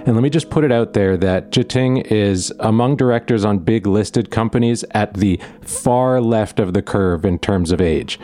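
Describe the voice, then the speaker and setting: A man's voice: deep voice, a little mellow. A man in his forties hosting a podcast, introducing his guest with an enthusiastic, informal delivery. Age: 40s. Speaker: podcast host.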